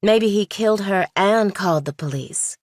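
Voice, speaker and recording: A woman's voice: medium pitch, moderate pace, dry recording, studio quality, natural voice. A woman voicing a skeptical young law student in an audiobook, clipped, probing and lawyerly. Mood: probing, accusing. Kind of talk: audiobook character dialogue.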